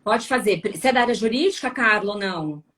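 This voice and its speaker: A woman's voice: mid-range voice, lively intonation, fast, a bit bright. A tired woman from Brazil hosting a casual live stream, answering viewers with lots of tag questions. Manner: chatty, frequent tag questions.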